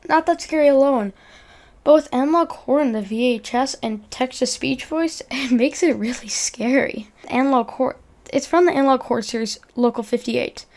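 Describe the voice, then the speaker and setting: A woman's voice: high-pitched, moderate pace, a little mellow. A young woman narrating a video essay in an informal, earnest way, reading from a script and stumbling over words now and then.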